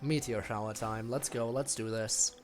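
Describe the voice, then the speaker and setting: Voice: medium pitch, steady tone, moderate pace, faint music behind. A game streamer giving casual livestream commentary, full of wry, quippy asides.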